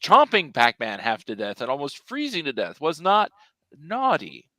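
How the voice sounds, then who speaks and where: Wry male panelist, fifties, lively pitch swings, crisp forward tone, casual banter. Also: normal speed, no room sound. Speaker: convention panelist. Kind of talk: casual joking panel chat.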